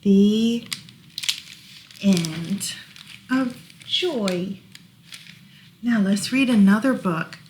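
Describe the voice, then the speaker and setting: A woman reading picture books aloud to small children as a storytime librarian, gently explaining as she goes. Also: mid-range voice, background noise. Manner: gentle, unhurried, explaining pictures to little listeners.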